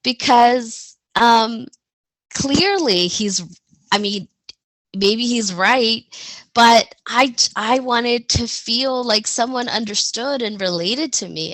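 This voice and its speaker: A woman giving a personal storytelling presentation as a panel speaker, halting and reflective as she goes. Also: a little high, a bit bright, no echo, rough recording.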